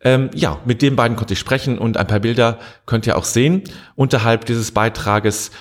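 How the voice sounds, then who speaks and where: A man's voice: medium pitch, normal speed. A man hosting short interviews for a community outlet, talking in a conversational way with fillers and restarts. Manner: conversational, with fillers and self-corrections. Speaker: community media host. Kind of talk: casual report and interview.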